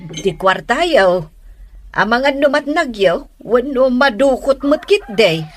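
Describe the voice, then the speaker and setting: Woman acting a gentle, slow-talking anxious mother. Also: on the high side, expressive. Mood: tender concern. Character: caring, anxious mother of a grown daughter. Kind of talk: audio drama acting.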